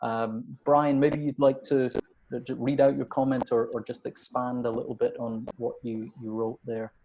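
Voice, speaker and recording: A man moderating an online panel, his pitch sitting right in the middle of the typical male range. He talks haltingly, often stammering over his words as he hands the floor around. The sound comes through a video call. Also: flat delivery, muffled recording, noisy background. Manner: hesitant, repeats words often. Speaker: webinar moderator.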